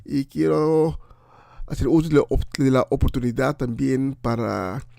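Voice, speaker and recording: Guy with doing radio preaching and shoutouts, kinda creaky voice, halting but emphatic, dry close sound. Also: medium pitch, dry recording. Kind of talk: informal on-air preaching and greetings.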